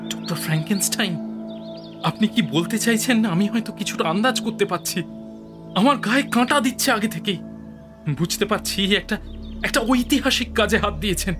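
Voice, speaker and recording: A man's voice: high-pitched, fairly quick, natural voice. A man acting a humble, loyal assistant scientist in a Bengali audio drama, deferential and earnest. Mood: awed, excited. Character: devoted male assistant scientist, humble, loyal, eager, awed. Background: background music.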